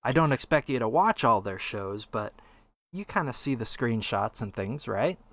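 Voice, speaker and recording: A man's voice: medium pitch, expressive, normal speed, fairly bright tone. A man co-hosting a casual podcast discussion, conversational, reading out passages and asking his co-host's view. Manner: conversational, reads quotes aloud, asks for opinions. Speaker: podcast co-host.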